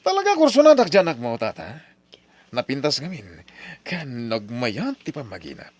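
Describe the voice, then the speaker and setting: An actor with an Ilocano accent playing an eager young suitor in an old-style radio drama. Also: male voice, medium pitch, wildly swooping pitch, normal speed, dry recording. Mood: pleased. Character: eager young male suitor visiting his sweetheart's father.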